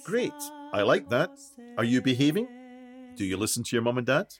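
An actor playing a brisk male documentary maker who puts questions to people in a radio play. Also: medium pitch, very animated, lightly acted. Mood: inquisitive. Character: self-proclaimed master documentary maker, brisk male interviewer. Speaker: documentary maker interviewing migrants.

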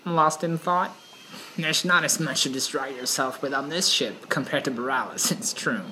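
A woman's voice: sits low, moderate pace, sharp tone, low-quality recording. A woman playing a seasoned, playful gnome adventurer and knowing mentor in a fantasy audio drama. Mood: playful, wry. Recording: noticeable ambient background noise.

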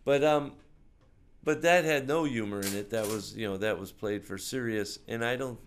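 A man's voice: on the low side, normal speed, a little hiss or hum. An older man with an American accent, talking casually and hesitantly as he thinks aloud about his views. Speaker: interview guest, film maker. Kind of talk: casual conversation about films and politics.

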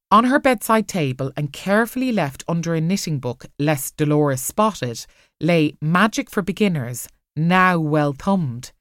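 A woman narrating an audiobook story in an expressive, scripted reading. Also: on the low side, no echo, clean studio sound.

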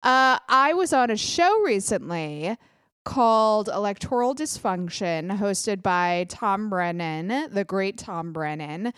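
A young adult woman hosting her own podcast, chatting casually with quick self-deprecating asides, sounding a little congested. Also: medium pitch, expressive, a bit bright, smooth, no echo, studio quality. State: congested, clogged ear. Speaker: podcast host. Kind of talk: casual solo podcast chat.

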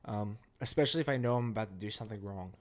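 A man with a somewhat low voice, talking sincerely about his own experience. He restarts his sentences often as he works out his thoughts. The tone is reflective, relaxed conversation. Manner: earnest, reflective, halting restarts. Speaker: podcast co-host. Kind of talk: casual chat.

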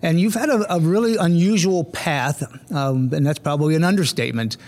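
Halting male podcast host, higher voice. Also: darkish tone. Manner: halting, many fillers and restarts. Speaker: podcast host, school administrator. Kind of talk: casual interview.

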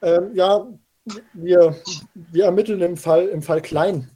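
Radio play chief detective, played by a man: husky, hesitant, stammering know-it-all, flustered under pressure. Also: high voice, steady tone, slow pace, dark tone, rough recording. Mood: flustered, unsure. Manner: hesitant, stammering, fillers everywhere. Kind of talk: amateur youth radio play acting.

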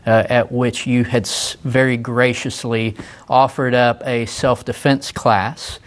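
A man's voice: medium pitch, level tone, slow. A man hosting a casual interview, easygoing, laughing often and asking follow-up questions. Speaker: interview host.

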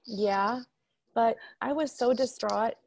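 A woman's voice: fairly high pitch, slow pace, creaky, darkish tone, exaggerated voice. A woman acting a caring, fussing mother of a grown daughter in a radio drama. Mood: gentle, earnest.

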